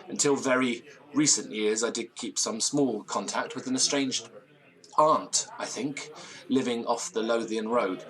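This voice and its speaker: An actor playing a nervous, theatrical, disgraced gentleman in an audio drama, with florid old-fashioned diction and a tendency to stammer. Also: medium pitch, lightly acted, low-quality recording. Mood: grave, storytelling. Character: nervous, theatrical, hard-drinking disgraced university gentleman storyteller.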